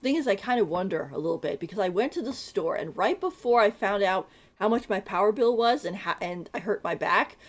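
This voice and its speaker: A woman's voice: mid-range voice, animated, fairly quick, few pauses. A woman streaming a game, chatting casually and rambling, laughing often as she talks. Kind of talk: casual live-stream chat.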